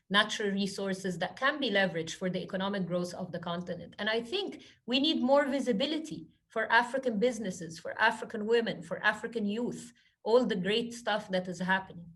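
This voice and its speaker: A woman's voice: mid-range voice, a bit bright. A woman from Egypt giving earnest, flowing answers as a guest speaker in a webinar, often saying "you know". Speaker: guest speaker, social entrepreneur. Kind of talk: webinar Q&A talk.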